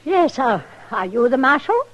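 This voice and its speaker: A woman's voice: high-pitched, very animated, normal speed, muffled recording, noisy background. A woman playing a haughty elderly society widow, formal, demanding and indignant with clipped diction, in a radio western. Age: older. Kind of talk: old-time radio western acting.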